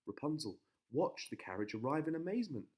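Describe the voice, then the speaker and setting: A man's voice: fairly high pitch, very animated, brisk, a little mellow. A man narrating a children's bedtime story as a dramatic storyteller.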